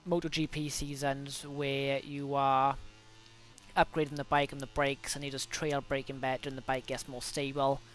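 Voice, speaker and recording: A young Welsh man with a cold coming on, chatting casually through his racing game laps with plenty of fillers. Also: on the high side. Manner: chatty, self-correcting, many fillers. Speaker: gaming video host. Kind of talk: casual gameplay commentary.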